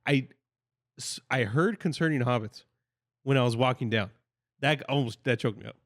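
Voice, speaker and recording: Earnest young man, mid-pitched voice. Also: normal speed, no room sound, studio quality. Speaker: podcast co-host, groom. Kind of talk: casual chat.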